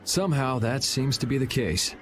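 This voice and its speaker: A man plays a confident young swordsman in a video game. He speaks with an American accent in a mid-range, expressive voice, laid-back and drily cheeky, with clear ambient noise behind him. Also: mid-range voice, moderate pace, natural voice. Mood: cool, casual.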